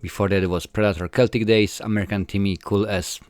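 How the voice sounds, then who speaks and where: Low-voiced Polish man, hesitant and halting. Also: steady tone, no room sound. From Poland. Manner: hesitant, many fillers and restarts. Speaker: filmmaker guest. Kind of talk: casual interview answers.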